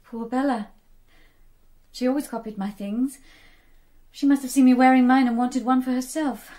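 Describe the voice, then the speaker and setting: An actress playing a well-bred, indignant young niece in a radio drama. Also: female voice, high-pitched, fairly even intonation, moderate pace, dark tone, close to an everyday voice. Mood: indignant, questioning.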